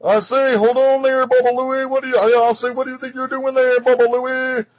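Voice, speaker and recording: A man voicing a loud, folksy cowboy who drawls, blusters and repeats himself in a playful children's story. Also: very high voice, little pitch movement, a little fast, no room sound. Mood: blustering, folksy. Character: loud folksy cowboy named Big Tex, blustering sidekick boss.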